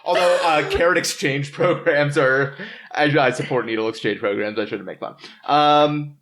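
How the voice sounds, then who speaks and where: A man hosting a casual podcast, halting and jokey as he retells and chats with others at the table. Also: fairly high pitch, a bit bright. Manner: halting, jokey, laughs often. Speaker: podcast host. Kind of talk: casual chat, retelling a life story. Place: in-person table recording.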